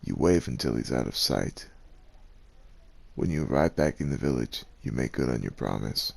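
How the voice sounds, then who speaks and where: A man's voice: a bass voice, expressive, normal speed, vocal fry, husky. A man narrating a scripted ASMR story as a voice actor, addressing the listener directly.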